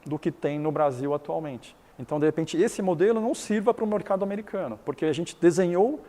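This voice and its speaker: A man's voice: on the high side, fairly quick, dark tone, long reverb tail. A middle-aged man from Taiwan whose first language is Chinese, explaining his business in an interview, often restarting and repeating words.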